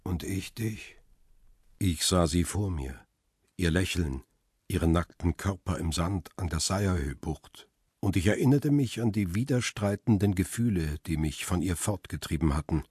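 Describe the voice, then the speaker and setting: Deep, world-weary brooding male narrator. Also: lively intonation, a little slow, a little mellow, husky, dry recording, studio quality, heavily acted. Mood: wistful. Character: world-weary male photographer, lonely widower, melancholy. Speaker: first-person narrator and lead. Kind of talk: radio drama narration and dialogue.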